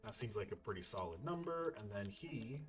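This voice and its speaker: A man's voice: on the low side, big pitch swings, brisk, over music. A man hosting a gaming video with casual let's play commentary, rambling a little as he thinks aloud.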